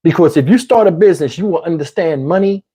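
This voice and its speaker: A man's voice: a little high, fast talker, mellow, slightly rough. A man with a Southern US accent hosting a casual livestream, rambling conversationally, his voice tiring and his throat parched. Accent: Southern US English. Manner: rambling, conversational, asks "you know what I'm saying". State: voice getting tired, throat parched. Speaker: YouTube commentary host. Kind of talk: casual livestream talk to viewers.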